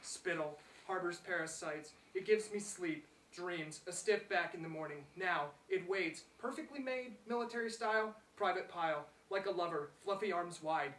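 A man's voice: sits high, normal speed, few pauses, fairly bright tone. A man performing spoken-word poetry in a dramatic manner, in short clipped phrases. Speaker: poet reading own work. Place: small theater, live reading. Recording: roomy theater, distant mic, faint noise.

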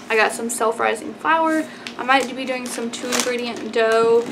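A woman's voice: a little high, fairly even intonation, a little slow. A woman in her twenties from Texas with an American accent, chatting casually and informally to camera as a vlogger, with frequent "like" and "y'all". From Texas, United States. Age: 20s. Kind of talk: casual grocery haul chat to camera. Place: small plain indoor room. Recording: clearly noisy room background.

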